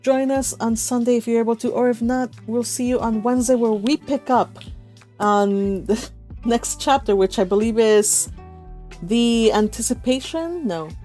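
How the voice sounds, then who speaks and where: A woman's voice: a little high, measured pace, mellow. Woman, online read-along host, casual group call chat, giggly and rambling, music in the background. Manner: chatty, giggly, rambling anecdotes.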